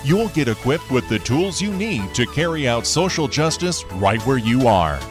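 Male show announcer, very varied intonation, medium pitch, scripted intro over a clear music bed. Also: big pitch swings, normal speed.

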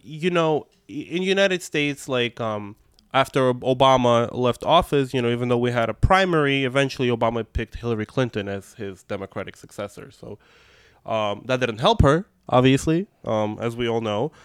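A man's voice: medium pitch, normal speed, clear, resonant tone, dry recording, clean studio sound. A man who grew up in Russia, Russian his first language, answering interview questions thoughtfully with frequent ums and restarts. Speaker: podcast guest. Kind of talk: interview conversation.

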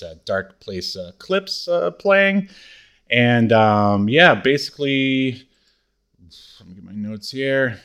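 A man co-hosting a podcast, chatting casually and thinking aloud in a halting way. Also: lowish voice, fairly bright tone. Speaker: podcast co-host. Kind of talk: casual chat about obscure media.

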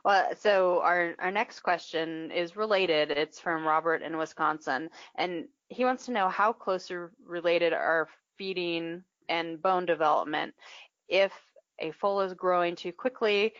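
A woman host with a lowish voice puts listener questions to a guest, restating them as she goes. The recording is dry and slightly muffled. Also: fairly bright tone, dry recording. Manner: conversational, rephrasing questions.

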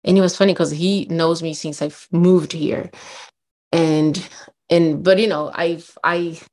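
A woman's voice: low-pitched, fairly even intonation, unhurried, darkish tone, a little hiss or hum. A woman from Brazil with a Brazilian Portuguese accent, co-hosting a podcast and telling a personal story in a candid, emotional way with many fillers. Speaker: podcast co-host. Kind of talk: casual chat.

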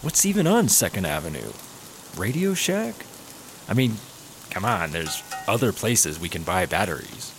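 An actor playing a breezy, argumentative, cocky slacker cop in a sci-fi comedy audio drama. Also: male voice, low voice, big pitch swings, normal speed, music in the background. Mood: cocky, casual. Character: cocky, laid-back, hungry buddy-cop slacker.

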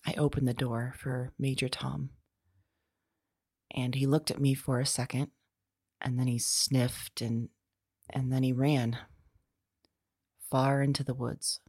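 A woman playing a frightened, lonely, tender woman resigned to doom in an audio drama, speaking haltingly and hushed, sometimes whispering. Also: very deep voice, little pitch movement, breathy edge, dry recording, studio quality, heavily acted. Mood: sad, fearful. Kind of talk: intimate audio drama monologue.

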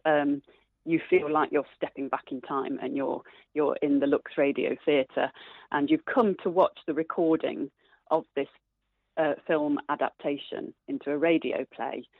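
A low-pitched woman speaks over a narrow, phone-like connection with very little room sound. She is a guest in a casual radio interview, explaining things agreeably as an amateur theatre performer. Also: steady tone, measured pace, no echo. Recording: thin phone-like radio line.

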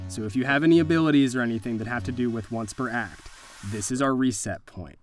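A man narrating a tabletop horror game as game master, setting scenes in a deliberate, cinematic way. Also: medium pitch, faint music behind.

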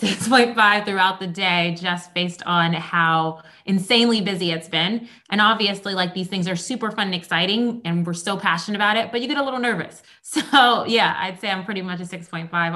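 A woman's voice: lowish voice, fairly quick, hardly any pauses, sharp tone. A woman speaking as a guest at a live fireside chat, chatty and upbeat with many fillers like um and kind of.

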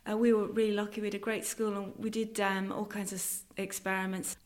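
Woman, middle-aged, English-sounding accent, narrow pitch range, conversational interview guest. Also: little pitch movement, fairly quick. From London, then Ireland from age thirteen. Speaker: guest, stained glass artist. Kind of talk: casual conversational interview answers.

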